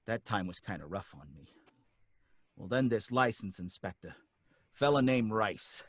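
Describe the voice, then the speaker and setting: An actor playing a jittery working-class cab driver in an old-time radio drama, pleading and excitable with folksy slang. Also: male voice, on the low side, unhurried, no echo. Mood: rattled recounting.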